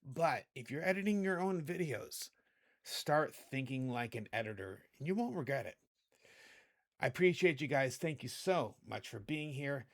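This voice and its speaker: A middle-aged man with a hoarse voice hosting a casual video editing tutorial, chatty and rambling, often correcting himself. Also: mid-range voice, animated, normal speed. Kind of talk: casual screen-recorded tutorial.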